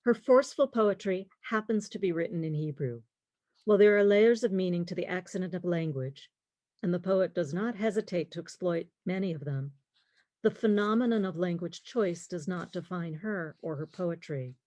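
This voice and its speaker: A middle-aged woman lecturing on literature, reading in a measured, deliberate way with pauses. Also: lowish voice, darkish tone, no echo. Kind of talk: prepared lecture, reading quotes and poems aloud.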